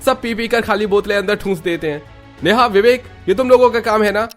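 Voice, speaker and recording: An irritable young husband in a Hindi cartoon. His voice is notably high for a man and has a loud, scolding edge, with music underneath. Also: fairly quick. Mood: angry. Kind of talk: Hindi cartoon story voice acting.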